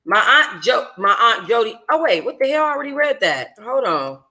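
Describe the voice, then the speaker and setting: A woman's voice: a little high, very animated, moderate pace, sharp tone. A woman hosting a book club, reading aloud casually with informal, sassy, blunt asides.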